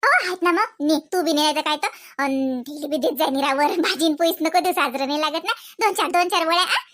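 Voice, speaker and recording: Casting: an actor as a fussy, chatty cartoon village woman, rural Varhadi Marathi accent, rapid bright delivery, nagging colloquial manner. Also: sits high. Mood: bossy, generous. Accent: rural Varhadi Marathi, Vidarbha. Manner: colloquial, nagging, repeats questioning tags. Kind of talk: comedy cartoon dialogue in rural household chat.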